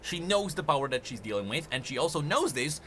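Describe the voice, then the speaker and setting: A man giving casual, animated commentary on game lore, emphatic and often thinking aloud. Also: on the high side, fast, slight background noise.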